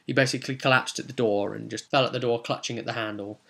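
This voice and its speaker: Male game master, mid-pitched voice, for a chatty tabletop session recap; wandering, self-correcting delivery with dramatic rhetorical questions. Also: steady tone, quick pace, gravelly, fairly bright tone. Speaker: game master and video host. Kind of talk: informal recap of a tabletop role-playing session.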